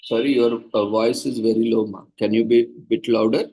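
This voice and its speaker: A man's voice: fairly low pitch, little pitch movement, fairly quick, warm tone, low-quality recording. A man organizing a seminar, patiently explaining procedures to participants over a video call. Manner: patient, instructive, plain and practical.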